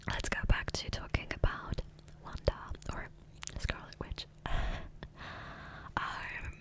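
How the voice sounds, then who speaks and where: Whispering woman, soft ASMR ramble. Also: takes it slowly. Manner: rambling, hesitant, self-interrupting. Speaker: ASMR gaming video creator. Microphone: close, sensitive USB microphone.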